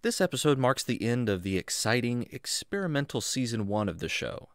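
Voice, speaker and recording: A man's voice: medium pitch, sing-song, brisk, no room sound, clean studio sound. A man reading a scripted podcast outro as the show's creator and announcer.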